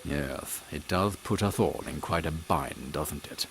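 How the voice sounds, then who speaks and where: A man voicing a composed British captain of Victorian secret agents, measured and formal, in a comic audio drama. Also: very deep voice, fairly bright tone, breathy edge, heavily acted. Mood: serious. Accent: British English. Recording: faint background noise.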